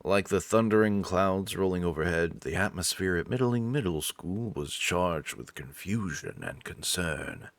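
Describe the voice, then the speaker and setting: A man's voice: deep voice, measured pace, few pauses. A man narrating a story game in a theatrical, playful way, with casual asides. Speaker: narrator and host reading a visual novel.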